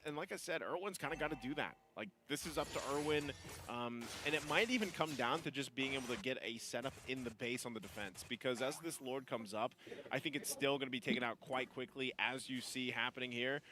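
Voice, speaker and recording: A man casting an esports match, breaking down plays in a measured, explanatory way with frequent "you know" asides. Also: mid-range voice, crowd noise. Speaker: esports caster. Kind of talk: game analysis commentary.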